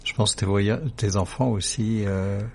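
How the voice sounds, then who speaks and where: A man's voice: fairly low pitch, steady tone, normal speed, a little hiss or hum. A man hosting a radio interview, friendly and joking, with short encouraging replies. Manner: friendly, jokey, short back-channel replies. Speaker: radio host.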